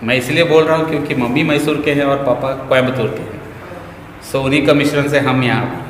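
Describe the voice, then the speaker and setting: A man from South India introduces himself in a very rapid, chatty stream. His mid-pitched voice carries across a reverberant hall with a long tail. A faint background noise stays present. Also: fairly even intonation. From South India, from Mysore. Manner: rapid, mixing languages in a chatty run. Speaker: guest priest. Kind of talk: casual self-introduction.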